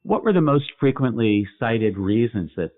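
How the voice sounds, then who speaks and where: A man's voice: mid-range voice, very animated, moderate pace. A man hosting a podcast, asking a guest about her study in a polite, inquisitive way. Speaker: podcast host, physician. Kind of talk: podcast interview questions. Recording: tinny phone-like line.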